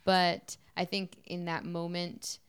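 A musician from Canada answers interview questions, hesitant and full of fillers. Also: female voice, lowish voice, flat delivery, slow pace, clear, resonant tone, no room sound, clean studio sound.

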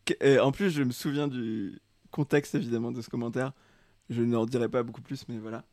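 A young man hosting a casual chat, relaxed and self-mocking, laughing often. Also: mid-range voice, a little fast, no echo. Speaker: host. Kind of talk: casual chat, reading his old comments aloud.

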